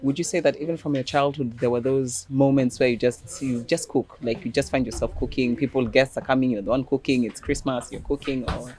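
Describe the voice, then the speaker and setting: Very low-voiced woman interviewer. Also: fairly even intonation, a little fast, few pauses. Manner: warm back-channeling, supportive. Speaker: podcast host.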